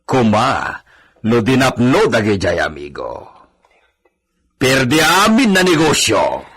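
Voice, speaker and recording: A man's voice: medium pitch, huge pitch swings, slow, a bit bright, breathy edge, full character voice. An Ilocano-accented man acting a haughty, vindictive hacienda owner in a radio drama, barking and ordering people about imperiously. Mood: bitter, vindictive. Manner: imperious, barks orders at servants. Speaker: actor in a radio comedy drama.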